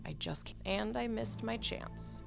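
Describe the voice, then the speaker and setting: An actress playing a sardonic, stubborn bounty hunter in a game, brusque and sceptical. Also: female voice, fairly high pitch, a little slow, creaky, music in the background. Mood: irritated, wary. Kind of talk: visual novel game character acting.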